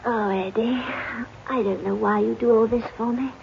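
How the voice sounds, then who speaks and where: A dull vintage radio recording with slight hiss. a trusting, adoring wife with a creaky edge to her voice. She sounds fragile, plaintive and affectionate. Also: a little high, fairly even intonation, moderate pace. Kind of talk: 1950s radio drama acting. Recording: dull, faintly noisy old radio recording.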